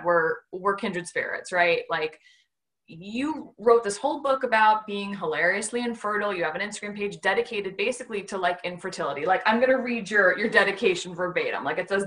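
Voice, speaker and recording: A woman's voice: medium pitch, a little fast, fairly bright tone. A woman hosting a podcast over a video call, blunt, joking and happy to swear. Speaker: podcast host. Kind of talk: casual interview chat. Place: home room, video call.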